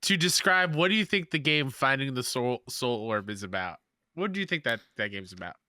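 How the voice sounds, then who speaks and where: A man's voice: mid-range voice, lively intonation, fairly quick, sharp tone, no echo, clean studio sound. A man guesting on a podcast, teasing and quizzing the other hosts. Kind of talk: casual chat.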